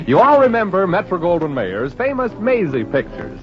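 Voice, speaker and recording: High, swooping old-time radio announcer man. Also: huge pitch swings, moderate pace. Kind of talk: 1940s radio announcing, theatrical and projected. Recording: muffled vintage radio, loud music behind.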